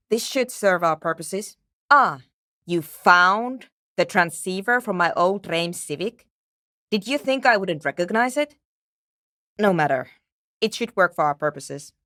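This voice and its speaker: A woman playing a brisk, matter-of-fact programmer and radio tinkerer in a video game, speaking in dry, precise, curt technical phrases. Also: medium pitch, fairly bright tone, dry recording. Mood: businesslike. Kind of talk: video game character dialogue.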